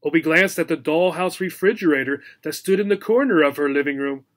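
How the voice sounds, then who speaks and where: Man, slightly high voice, audiobook reader, children's story narration, expressive delivery. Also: fairly quick.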